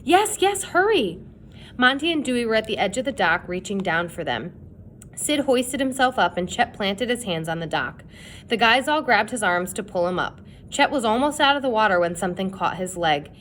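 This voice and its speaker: A woman's voice: lively intonation, brisk, fairly bright tone. A woman reading a children's chapter book aloud as a dramatic, suspenseful storyteller, with noise behind. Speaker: storybook reader. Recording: clear background noise with rumble.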